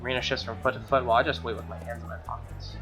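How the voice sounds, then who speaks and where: Bright-voiced man reading aloud, wry. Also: mid-range voice, wildly swooping pitch, rapid-fire, creaky. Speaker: let's play host. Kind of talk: visual novel read-aloud with casual asides. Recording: dull lo-fi sound, music behind.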